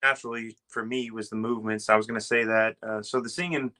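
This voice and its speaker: Smooth, bright-voiced man chatting earnestly. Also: fairly low pitch, little pitch movement, fast talker. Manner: earnest and easygoing, with many fillers like "uh" and "you know". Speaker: guest, Elvis tribute artist. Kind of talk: casual interview chat. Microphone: video call.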